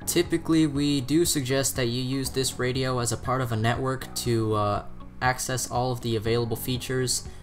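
A man's voice: medium pitch, steady tone, moderate pace. A man presenting a scripted product review as narration. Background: background music.

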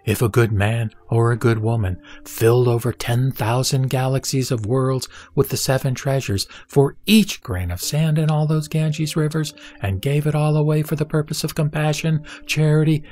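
A man's voice: mid-range voice, darkish tone, close to an everyday voice. A man playing the Buddha in a scripture audio drama, a serene teacher speaking formally and instructively. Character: the Buddha, serene authoritative male teacher addressing his disciple.

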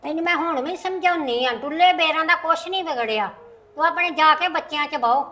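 A woman's voice: high voice, brisk, fairly bright tone. A woman playing an elderly mother in a family drama, plaintive and imploring, speaking. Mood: pleading, sorrowful. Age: older. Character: pleading, scolding elderly mother of a wayward son.

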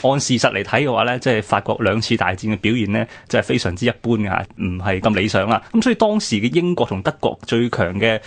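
A man's voice: fairly low pitch, expressive, fast talker, hardly any pauses. A man with a Hong Kong Cantonese accent gives conversational history commentary in an explanatory way. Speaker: radio programme presenter. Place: radio studio.

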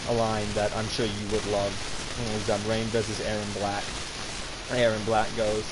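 A man's voice: fairly low pitch, completely flat delivery, normal speed. A man streaming a video game with casual, rambling, opinionated and self-deprecating commentary. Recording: noisy gaming stream with game sounds. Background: video game ambience.

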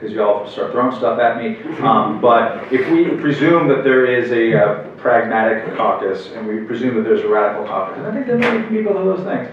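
A man's voice: mid-range voice, very animated, brisk, hardly any pauses, slight background noise. Hesitant young male moderator, echoey hall. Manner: hesitant, many ums and uhs. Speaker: interviewer, moderator. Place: hotel meeting room at a convention. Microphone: table mic on a stand, heard from far. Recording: echoey room, distant, dull sound.

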